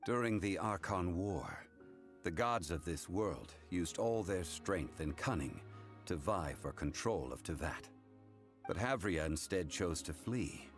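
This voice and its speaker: A man playing a calm, grave consultant in a video game, speaking formally and with solemn measure. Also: low-pitched, a little slow, soft background music. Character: calm, grave, worldly male consultant recounting hard truths. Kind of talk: English video game voice acting.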